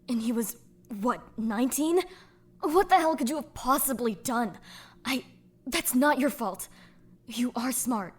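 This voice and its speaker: A woman plays a frank, caring young woman who swears now and then and speaks gently. Her voice is fairly high and a little breathy. It is a fan audio drama role. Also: lightly acted. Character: blunt, caring young woman comforting a friend.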